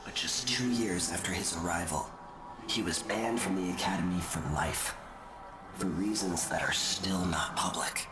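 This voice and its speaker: A man playing a cryptic, detached supernatural storyteller, narrating deliberately in a video game. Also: sits low, over music, lightly acted. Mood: cool, cryptic.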